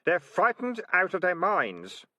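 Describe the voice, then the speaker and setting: A man's voice: on the high side, very animated, moderate pace, pushed and bright, dry recording, pitched above the performer's own voice, full character voice. A man voicing an earnest young boy who speaks firmly. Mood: stern. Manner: firm, earnest.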